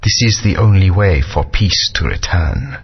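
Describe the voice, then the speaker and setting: A stern husky alien elder. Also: male voice, sits low, animated, heavily acted. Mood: grave. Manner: measured, authoritative. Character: ancient alien race elder, stern and grave. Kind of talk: game voice acting. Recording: dull lo-fi game audio.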